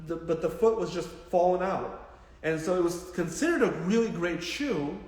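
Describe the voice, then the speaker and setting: A man giving casual, informal health advice as a physical therapist answering questions, in a blunt, jokey, animated way with emphatic repetition. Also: a little high. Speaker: physical therapist hosting a live Q&A. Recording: echoey, reverberant room.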